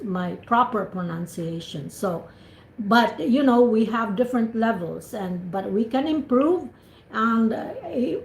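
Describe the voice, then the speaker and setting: A woman's voice: fairly high pitch, a little mellow, echoey room, slight background noise, poor audio. A Filipino woman, a native Cebuano speaker, giving encouraging spoken feedback as an English speaking tutor over a video call, with many restarts. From Philippines.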